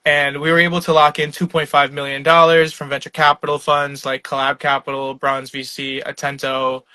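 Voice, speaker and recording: A man's voice: on the high side, flat delivery, moderate pace, sharp tone, no echo. A young man from New York, a startup founder, talking casually and laid-back on an online video call, with plenty of fillers and laughs. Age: young adult. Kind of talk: casual conversational interview. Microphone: online live video call.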